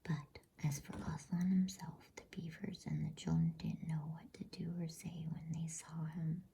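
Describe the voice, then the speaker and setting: A woman reads a storybook aloud as ASMR in a hushed, unhurried, breathy whisper meant to soothe. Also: low voice, little pitch movement, slight background noise. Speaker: reader of bedtime stories.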